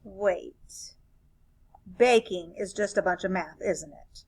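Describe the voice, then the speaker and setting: A woman's voice: medium pitch, takes it slowly, darkish tone, husky. A woman reading a children's picture book aloud as a grumpy, bored, reluctant narrator who complains expressively. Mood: musing.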